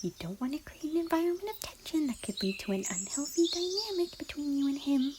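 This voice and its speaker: A woman's voice: high voice, fairly quick. A woman voicing a bashful, giddy, affectionate young unicorn pony in an ASMR fan fiction story. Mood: bashful hushed muttering. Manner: giggly, excitable, dropping into hushed muttering. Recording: clearly noisy, birdsong behind. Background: birdsong and outdoor ambience.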